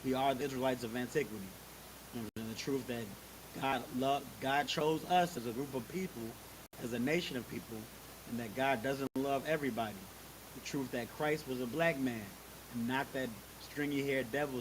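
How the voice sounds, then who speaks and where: Man, mid-pitched, rambling casual delivery, repeats himself, steady hiss behind. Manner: loose, repetitive, frequent filler phrases. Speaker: congregation member hosting a religious service. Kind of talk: casual introduction to a religious service.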